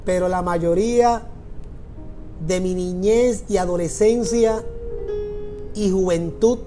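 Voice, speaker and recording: A man's voice: high voice, slow, dark tone, faint music behind. A middle-aged man from Puerto Rico with a Puerto Rican Spanish accent, preaching a sermon and repeating phrases for emphasis. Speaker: pastor.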